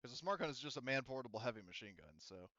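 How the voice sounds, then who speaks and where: A man acting as game master, casually narrating and making rulings in a halting, rambling way that often interrupts itself. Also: medium pitch, expressive, pushed and bright, no room sound, muffled recording.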